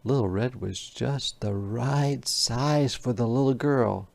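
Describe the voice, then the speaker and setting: A man teacher reading a children's storybook aloud, expressive and pausing to explain words to young listeners. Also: medium pitch, creaky.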